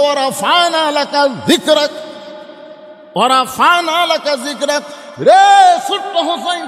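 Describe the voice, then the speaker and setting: Male Islamic preacher, high voice, theatrical storytelling that swings from cries to lower speech, echoing hall. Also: a bit bright. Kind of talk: dramatic storytelling sermon with acted dialogue. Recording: echoey hall with long reverb.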